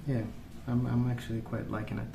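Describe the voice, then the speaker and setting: A man speaking softly in a hushed, unhurried ASMR ramble, musing as he goes. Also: medium pitch, monotone, creaky, reverberant, noisy background. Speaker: ASMR video host. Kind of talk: soft-spoken ASMR rambling while colouring.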